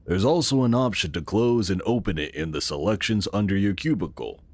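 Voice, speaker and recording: An actor playing the earnest, calm founder of a futuristic city in a sci-fi audio drama, explaining things in a measured, formal way. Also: male voice, low-pitched, no echo. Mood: instructive.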